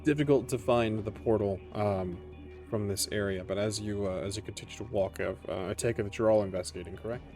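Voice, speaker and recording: A man acting as game master, narrating a tabletop roleplaying game in a measured, descriptive way with pauses. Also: on the low side, a little mellow. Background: music under the voice.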